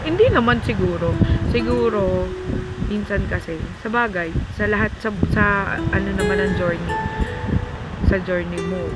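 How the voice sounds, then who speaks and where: A young Filipino woman chatting outdoors at night beside her partner, soft-spoken and chiming in, close to a handheld camera. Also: mid-range voice, moderate pace, long pauses, loud music behind the voice, low-quality recording. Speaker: vlogger's partner. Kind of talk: casual chat. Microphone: camera held close in selfie framing.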